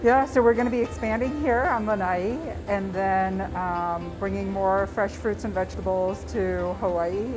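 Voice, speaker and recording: A woman's voice: mid-range voice, steady tone, moderate pace, hardly any pauses, poor audio. A woman guest on a cooking show, chatting casually and appreciatively as she cooks. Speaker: farm company executive, guest cook. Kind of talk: casual chat while cooking. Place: studio show kitchen. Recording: clear music behind voice.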